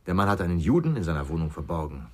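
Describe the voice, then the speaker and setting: Deep-voiced, brooding middle-aged ex-policeman. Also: big pitch swings, very fast. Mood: brooding, grave. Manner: terse, grave. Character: brooding middle-aged male ex-policeman haunted by his father. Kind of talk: naturalistic radio drama acting.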